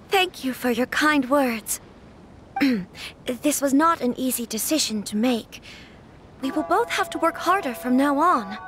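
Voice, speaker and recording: A woman's voice: high-pitched, animated, takes it slowly, pauses often, fairly bright tone, a little hiss or hum, heavily acted. An actress playing a polite, earnest young noblewoman in a video game, speaking formally and sincerely. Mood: nervous, earnest. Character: gracious, earnest young noblewoman, engaged to marry. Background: music.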